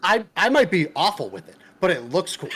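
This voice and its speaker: A man's voice: high voice, sing-song, a little fast, fairly bright tone. A man co-hosting a gaming podcast, joking casually with heavy swearing and slang. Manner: joking, heavily profane, slangy. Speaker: podcast co-host. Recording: clear background noise, room ambience.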